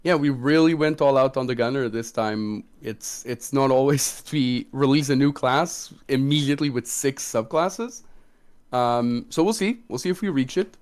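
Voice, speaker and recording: A man's voice: mid-range voice, moderate pace, darkish tone. A man who designs tabletop games, chatting casually as co-host of a question-and-answer livestream.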